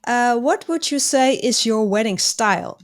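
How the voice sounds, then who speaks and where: A woman's voice: a little high, lively intonation, unhurried. A woman hosting an interview, asking friendly, encouraging questions. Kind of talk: interview host.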